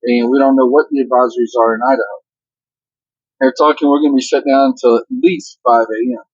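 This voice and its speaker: A man's voice: mid-range voice, a little mellow, breathy edge, no room sound, highs cut off. An older man who drives a truck, chatting casually and giving matter-of-fact safety advice. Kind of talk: casual vlog chat.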